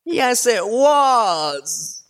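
A man voicing a traumatized, volatile young female unicorn pony with a shrill, strained voice and stammering outbursts. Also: lively intonation, drawn-out words, gravelly, exaggerated voice. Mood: shrieking.

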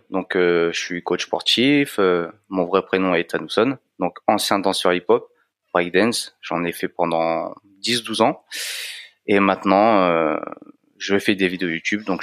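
A man in his late thirties from France, a fitness coach talking casually as an interview guest, relaxed and hesitant with many fillers. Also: low voice, moderate pace, no echo, clean studio sound. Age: 30s.

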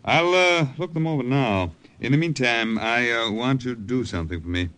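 A man plays a brisk army colonel giving orders in short, clipped phrases. His talk is broken by small hesitant pauses, in the manner of a 1940s radio drama. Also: medium pitch, expressive, a bit bright, clear, resonant tone, lightly acted. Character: male army colonel, brisk commanding officer.

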